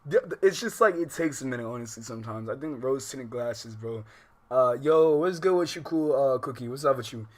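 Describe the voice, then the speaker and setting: A man chatting casually as a livestream host, animated, with slangy, profane speech and rambling unfinished thoughts. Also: mid-range voice, brisk. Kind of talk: casual livestream chat, reacting and addressing viewers.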